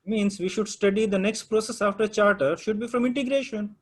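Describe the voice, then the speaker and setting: A man's voice: high-pitched, steady tone, a little fast. A man whose first language is Urdu, teaching a project management class and prodding students with questions.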